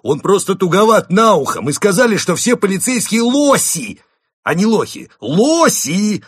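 A man's voice: on the low side, fairly even intonation, unhurried, a bit bright, breathy edge, no echo, studio quality, full character voice. A man voicing a thuggish wolf gangster in a storybook, a bullying creature who hisses, growls and wheedles. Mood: alarmed, insistent.

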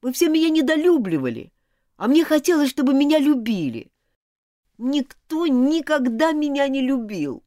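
A woman's voice: high-pitched, very animated, normal speed, dark tone, dry recording, studio quality, voice pushed up. A woman reading aloud, voicing a guilty schoolboy who speaks in a dull, mournful way. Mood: ashamed. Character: guilt-ridden young schoolboy, ashamed, confessing.

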